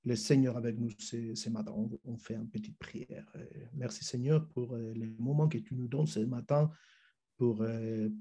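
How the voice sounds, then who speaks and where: A man with a Spanish accent, Spanish being his first language, giving a church webinar presentation over a video call, halting with many restarts. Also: mid-range voice, warm tone, slightly rough. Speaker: youth ministry speaker.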